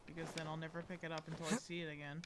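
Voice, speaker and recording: Low-voiced woman chatting while gaming. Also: level tone, rapid-fire, noisy background. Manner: rambling, playfully talks to the game. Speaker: game streamer. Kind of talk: casual live gameplay commentary. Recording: game music behind the voice. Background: loud video game music.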